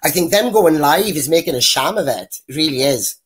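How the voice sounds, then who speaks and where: A woman with a deep voice, bluntly giving her opinions as a guest on a video-call panel. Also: animated, a little fast, breathy edge. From Wales. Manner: blunt, rambling, mimics others when quoting. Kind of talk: casual opinionated chat.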